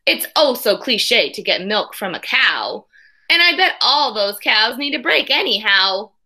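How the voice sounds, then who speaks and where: A woman's voice: a little high, big pitch swings, a little slow, pushed and bright. A woman in her thirties reading a picture book aloud as a teacher, expressively, with simple asides for children. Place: small room at home.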